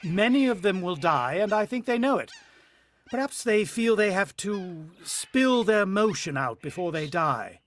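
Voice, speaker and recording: Man acting a brooding husband, higher-pitched voice. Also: expressive. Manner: musing, dramatic, halting pauses. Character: brooding, uneasy husband and father narrating a bird attack. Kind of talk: vintage radio drama acting.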